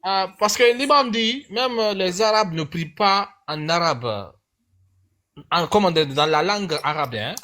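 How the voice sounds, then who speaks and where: High-voiced host guy, super lively, keeps pushing the same question. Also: unhurried. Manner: animated, questioning, emphatic. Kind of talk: live discussion.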